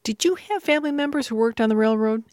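Female radio interviewer, somewhat high voice, dry close sound. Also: animated, quick pace, a little mellow, no echo. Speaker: radio host. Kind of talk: broadcast interview with scripted introduction.

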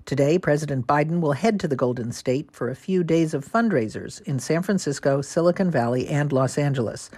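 A woman's voice: low-pitched, lively intonation, a little fast, few pauses, warm tone, slightly rough, dry recording. A woman with an American accent giving a scripted political report on the radio.

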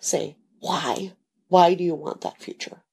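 A woman's voice: fairly low pitch, steady tone, a little slow, darkish tone, husky. A woman in an informal conversation with her husband, earnest and coaxing. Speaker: wife recording a talk with her husband.

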